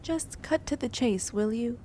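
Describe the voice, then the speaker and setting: An actress playing a wry, self-possessed adventurer in a video game, speaking in a dry, direct, sceptical way. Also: female voice, on the high side, a little slow, warm tone, a little hiss or hum, natural voice. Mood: wry, challenging.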